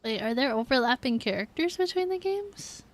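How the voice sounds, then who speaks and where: A woman's voice: sits high, animated, normal speed. A woman game streamer chatting casually and informally, thinking aloud as she talks to her viewers. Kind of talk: casual livestream chat.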